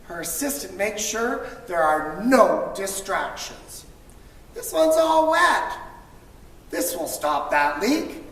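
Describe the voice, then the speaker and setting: A woman reading a children's picture book aloud to school pupils, expressively, with dramatic emphasis and drawn-out words. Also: medium pitch, big pitch swings. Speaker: storybook reader for school children. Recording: distant mic, echoey reverberant room, faint noise.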